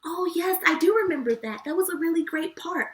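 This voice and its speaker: A woman's voice: extremely high pitch, animated, fairly quick. A woman hosting a children's show, chatting to young viewers in an effusive, encouraging way. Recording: echoey room, distant microphone.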